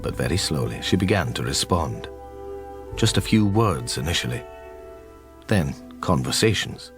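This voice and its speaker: Radio drama narrator: tender middle-aged psychic, deep and melodically varied, measured, musing. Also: male voice, very animated, over music. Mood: tender, reflective. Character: gentle, reflective male psychic detective.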